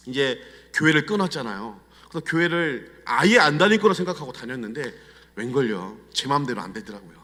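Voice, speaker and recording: Guest preacher, middle-aged man, Chungcheong accent, slightly high voice, lively storytelling, long hall echo. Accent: Chungcheong Korean. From Chungcheong, Korea. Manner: animated, confiding storyteller. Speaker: guest pastor. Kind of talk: storytelling sermon. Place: church sanctuary. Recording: long church hall reverb.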